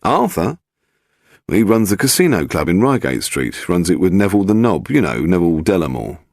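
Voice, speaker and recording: A man's voice: sits low, big pitch swings, normal speed, darkish tone, dry recording, clean studio sound, full character voice. A man voicing a gossipy, familiar London barman in a detective story.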